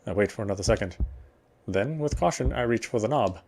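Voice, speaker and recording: A man's voice: on the low side, lively intonation, fairly quick, a little mellow, slight background noise. A man playing a game and reading its first-person story narration aloud in a careful way, now and then stumbling and correcting himself. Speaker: game player reading a visual novel aloud.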